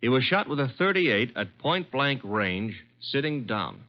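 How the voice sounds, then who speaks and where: A man playing a brisk, wisecracking detective's assistant in an old-time radio drama, with a wry, needling manner. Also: mid-range voice, very animated. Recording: dull vintage radio recording.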